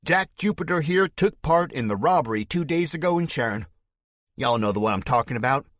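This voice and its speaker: A man voicing an unstable male militia leader with a fake southern drawl, making loud, theatrical speeches to a crowd. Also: fairly high pitch, expressive, brisk, dry recording, studio quality, voice pushed up. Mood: sermonizing.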